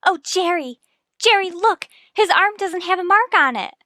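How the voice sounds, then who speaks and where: A woman's voice: very high-pitched, expressive, normal speed, pushed and bright, no echo, clean studio sound, heavily acted. A woman acting in a radio murder mystery, playing an earnest, emotional character with dramatic delivery. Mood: astonished.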